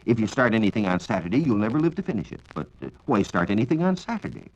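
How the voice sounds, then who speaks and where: A man with an American accent giving a formal, measured, scripted 1940s radio science talk on a dull vintage recording. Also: lowish voice, big pitch swings, a little mellow. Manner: formal, measured lecturing with rhetorical questions. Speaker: science reporter and radio speaker. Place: radio studio.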